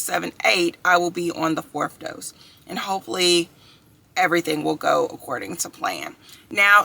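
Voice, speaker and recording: A woman's voice: mid-range voice, gravelly, sharp tone. A woman in her thirties chatting upbeat to her viewers in a casual video diary, calling them y'all and guys. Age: 30s. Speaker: vlogger. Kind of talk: casual chat to camera.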